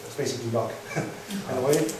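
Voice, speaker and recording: A young Danish man, a native Danish speaker, answering audience questions in English with many pauses and restarts. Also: medium pitch. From Denmark. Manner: hesitant, many fillers and restarts. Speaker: guest speaker. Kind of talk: live Q&A answers. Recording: echoey hall, mic far off, noisy.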